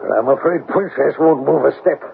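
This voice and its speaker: An actor playing a wary older countryman, a father, in a 1970s radio drama with a gravelly voice. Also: on the high side, a little fast. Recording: noisy, tinny phone-like radio recording.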